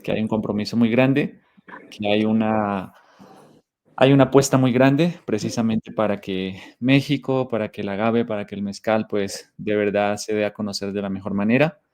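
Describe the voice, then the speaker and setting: Reflective Colombian man, low voice. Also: steady tone, moderate pace, darkish tone. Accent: Colombian with a Mexican tinge. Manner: reflective, thoughtful, with frequent restarts. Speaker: guest speaker. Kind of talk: online talk.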